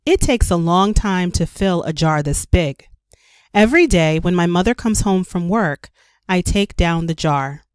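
A woman reading a children's story aloud as a storyteller, with careful, expressive narration for young children. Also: on the low side, measured pace, clean tone, dry recording. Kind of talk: scripted read-aloud narration.

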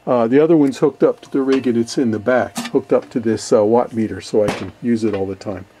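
A man's voice: medium pitch, brisk, noisy background. An older man giving a casual, easygoing show-and-tell in his workshop, talking in a rambling, hesitant way. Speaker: ham radio hobbyist, video host. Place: cluttered indoor basement workshop.

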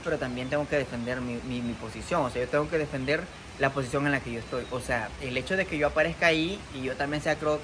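A young man, a content creator, talking to the camera outdoors beside a muddy rural road, earnest and defensive, with many fillers and restarts. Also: a little high. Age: young adult. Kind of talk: vlog to camera. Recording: outdoor noise behind. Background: outdoor ambience.

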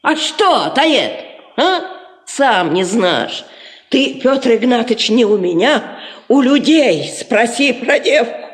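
A bossy, mocking village wife in an old radio drama. She is high-pitched, folksy and sly, quick to scold, and recorded in a roomy, echoing space. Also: big pitch swings. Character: sharp-tongued, scheming peasant wife, mocking and bossy. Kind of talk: Soviet 1950s radio play acting.

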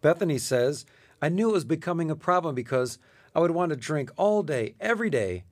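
A man's voice: a little high, expressive, brisk, dry recording. A man speaking as a charity president, giving scripted answers as a radio guest.

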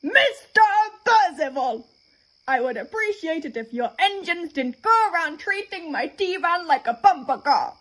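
A man voicing a fussy, indignant middle-aged refreshment lady with slow, huffy, drawn-out complaints. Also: extremely high pitch, animated, bright, forceful tone, voice pushed up, heavily acted, poor audio.

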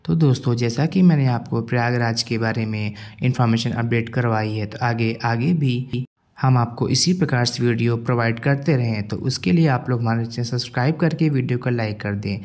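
A man hosting his own channel, reading a formal local news update as a voice-over in a steady tone. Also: mid-range voice, normal speed. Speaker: YouTube channel host.

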